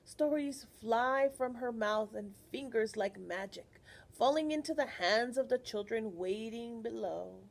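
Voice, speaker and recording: A woman reading a picture book aloud to children, expressive, pausing to ask questions and explain like a teacher. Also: on the high side, gravelly.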